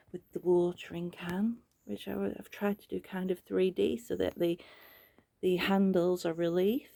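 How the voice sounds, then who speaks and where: A middle-aged English woman with hay fever, softly narrating a casual home tour, often half-whispering. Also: lowish voice, vocal fry, breathy edge. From England. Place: cottage bedroom, indoors.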